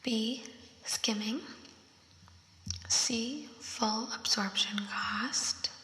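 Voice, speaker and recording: A young woman doing ASMR, whispering softly as she reads quiz questions and thinks through them aloud, with a voice effect on her whisper. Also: a little high, steady tone. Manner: soft unvoiced whisper, slow and thinking aloud. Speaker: ASMR creator. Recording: roomy echo with long reverb.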